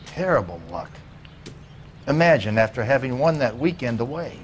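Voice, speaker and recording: An actor playing a grudging, folksy American neighbor being questioned as a witness in a video game drama. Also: male voice, mid-range voice, very animated, normal speed, a little hiss or hum, lightly acted. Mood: guarded. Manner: folksy, offhand, defensive. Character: evasive, grudging male suburban neighbor and witness.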